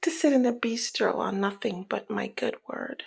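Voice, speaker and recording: A woman playing a flirty, teasing, dominant female mob boss in an intimate ASMR roleplay, with a husky, breathy, half-whispered voice and a sultry, taunting manner. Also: mid-range voice. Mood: coy, commanding. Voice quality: breathy, husky, often slipping into near-whisper. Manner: slow, sultry, playful taunting. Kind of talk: ASMR roleplay, intimate one-sided dialogue.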